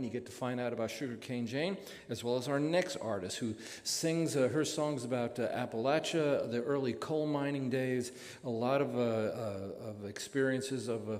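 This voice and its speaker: Halting male radio host in a reverberant theater. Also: medium pitch, darkish tone. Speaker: radio show host and interviewer. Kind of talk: live stage introduction and interview. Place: theater stage before an audience. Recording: live theater stage, long reverb.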